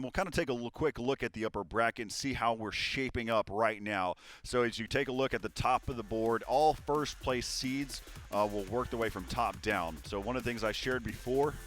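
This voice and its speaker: A man's voice: lowish voice, fairly bright tone. A young man casting esports, hosting a live desk wrap-up in an upbeat way with many fillers. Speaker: esports caster and host.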